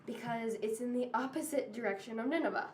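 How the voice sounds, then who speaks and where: A woman's voice: fairly high pitch, fast, darkish tone, lightly acted. A woman playing a curt, impatient servant in an amateur audio drama. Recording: echoey room, far from mic.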